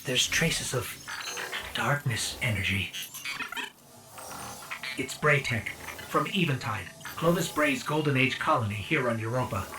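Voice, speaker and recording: An actor playing a small, earnest robotic guide in a video game, wary and measured. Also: male voice, mid-range voice, expressive, close to an everyday voice, low-quality recording. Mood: concerned, investigative. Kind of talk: video game companion dialogue. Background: loud game music.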